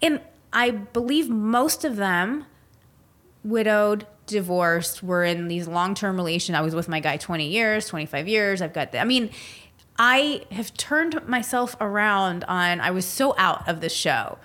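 A woman's voice: mid-range voice, a little slow, a bit bright. A woman hosting a podcast, chatting casually and enthusiastically about reality TV and celebrity gossip, with a teasing edge. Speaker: podcast host. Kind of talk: casual pop-culture chat.

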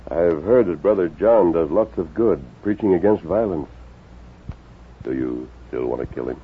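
Very deep-voiced western lawman hero. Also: lively intonation. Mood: calm, firm. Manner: measured, firm, earnest. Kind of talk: 1950s radio western acting. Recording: muffled, hissy 1950s radio recording.

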